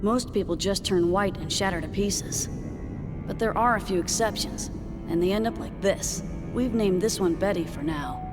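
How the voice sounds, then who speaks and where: A woman's voice: mid-range voice, natural voice. Actress playing self-assured woman executive, blunt and colloquial, game dialogue, long reverb, music underneath. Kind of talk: video game voice acting.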